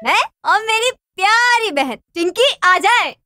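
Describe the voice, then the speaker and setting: A woman's voice: extremely high pitch, lively intonation, slow, bright, forceful tone, exaggerated voice. A woman voicing a boastful, mischievous young boy in a children's cartoon, theatrical and whiny when in trouble. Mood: sly, taunting.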